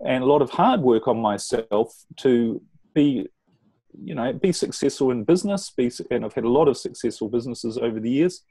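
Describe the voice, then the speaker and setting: A middle-aged New Zealand man speaks in a warm, mellow tone, earnest and measured as he pauses and restarts his sentences. The sound is dry and close, with no room around it. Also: medium pitch, no room sound. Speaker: relationship coach. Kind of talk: interview guest telling his story.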